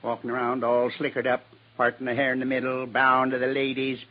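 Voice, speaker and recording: A husky old buffalo hunter in his seventies, played by a man, proud and bitter in an old radio western. His talk is rustic and rough in grammar, and the recording is dull. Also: medium pitch, completely flat delivery, a little fast, lightly acted. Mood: scornful. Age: 70s. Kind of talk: 1950s radio western acting.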